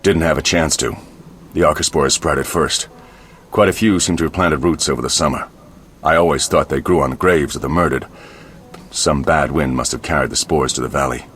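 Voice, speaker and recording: Gruff scornful monster hunter in a game, deep voice, curt and sardonic. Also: male voice, normal speed, a bit bright, slight background noise. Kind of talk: video game dialogue acting.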